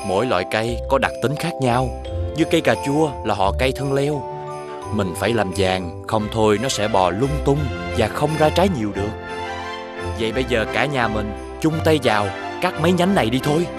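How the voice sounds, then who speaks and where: A man dubbing a patient cartoon father in a mid-range voice. He explains things in a lively, rising-and-falling way. Clear background music plays behind him. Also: mid-range voice, a little fast, lightly acted. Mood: explaining.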